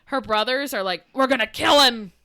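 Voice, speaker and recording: A woman's voice: on the high side, normal speed, sharp tone, dry recording. A young woman co-hosting a podcast, casually retelling a fairytale with sarcastic asides, laughter and quotes acted out in voices. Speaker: podcast co-host. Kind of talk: casual storytelling chat.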